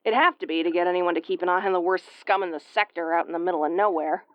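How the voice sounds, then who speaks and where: A woman's voice: fairly low pitch, quick pace, clean tone, no echo, lo-fi sound, close to an everyday voice. A woman playing a tough, prickly but secretly caring tsundere starship commander in an intimate audio roleplay, brusque and prone to stammering when flustered. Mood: guarded, teasing.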